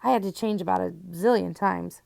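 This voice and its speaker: Woman playing an excitable preteen city girl. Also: on the low side, a little fast, creaky. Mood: chatty, proud. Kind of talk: children's storybook character reading.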